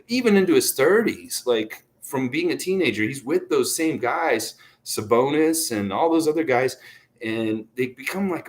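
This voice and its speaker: A man giving casual, unscripted sports commentary as an enthusiastic fan, rambling and repeating himself for emphasis. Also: mid-range voice. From Canada.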